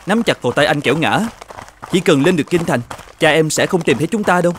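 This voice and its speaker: A man's voice: a little high, lively intonation, quick pace, a little hiss or hum, natural voice. An actor playing an earnest, self-sacrificing young man in love in a cartoon folk tale, speaking with urgency. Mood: urgent.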